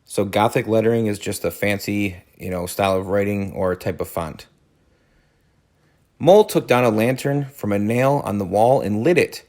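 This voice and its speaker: A man's voice: lowish voice, moderate pace, darkish tone. A man reading a story aloud as a teacher, adding informal explanatory asides.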